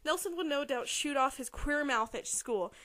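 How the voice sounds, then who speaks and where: A woman's voice: high-pitched, moderate pace, fairly bright tone. A woman narrating a novel as an informal amateur dramatic reading.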